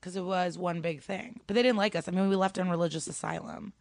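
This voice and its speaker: A woman's voice: lowish voice, fairly even intonation, quick pace, vocal fry, no echo, studio quality. A young woman from Ukraine, a stand-up comedian, chatting casually as a podcast guest and telling stories with many fillers.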